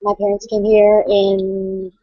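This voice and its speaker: A woman from California speaking hesitantly, with many pauses and fillers, in a casual online group discussion. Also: medium pitch, monotone, dry recording. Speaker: student affairs professional. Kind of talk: casual video-call discussion. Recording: dull lo-fi call audio.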